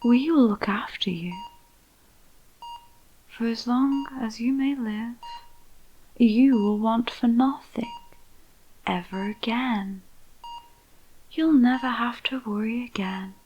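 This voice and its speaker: A woman playing a clinical female vampire scientist in an ASMR roleplay, with a whispery, breathy voice and deliberate delivery. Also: fairly high pitch, darkish tone, lo-fi sound, slight background noise. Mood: soothing, sinister. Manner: slow, soothing, deliberate. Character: calm, clinical female vampire scientist overseeing a sinister experiment.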